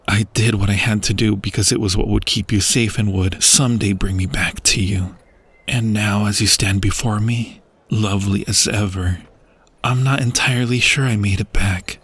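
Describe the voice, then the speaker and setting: A man playing a dying, war-weary king and loving husband in a fantasy audio roleplay, husky, tender and broken, with archaic phrasing. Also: fairly low pitch. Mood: broken, sorrowful. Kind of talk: fantasy ASMR roleplay acting.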